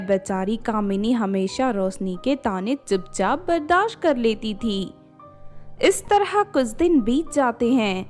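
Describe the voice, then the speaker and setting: A woman's voice: a little high, a little mellow. A woman storyteller reading scripted narration for a children's moral story.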